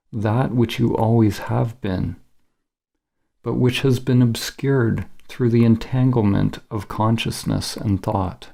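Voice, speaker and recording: Slow, mellow, creaky male meditation guide. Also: fairly low pitch. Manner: measured, solemn, instructional. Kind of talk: scripted guided meditation narration.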